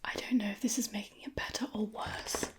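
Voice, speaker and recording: A woman whispering breathily in an ASMR roleplay, with a fussy, deliberate, mock-scolding manner. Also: mid-range voice, fairly even intonation. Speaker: ASMR creator playing a screen cleaner.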